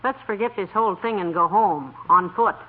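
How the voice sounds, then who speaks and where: A woman playing a patient, teasing wife with dry wit in a 1940s radio comedy, wry and gently chiding. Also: mid-range voice, moderate pace, close to an everyday voice. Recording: noisy, muffled vintage radio broadcast.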